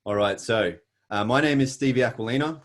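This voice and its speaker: A man's voice: medium pitch, fairly quick. A middle-aged Australian man giving an earnest, emphatic motivational presentation with self-deprecating humor.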